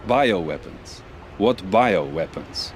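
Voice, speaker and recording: A man's voice: lowish voice, expressive, takes it slowly, a little hiss or hum. A man playing a sardonic, defensive military captain in a video game, mocking, with a Russian accent. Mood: scornful, sarcastic. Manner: sarcastic, mocking. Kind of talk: video game cutscene acting.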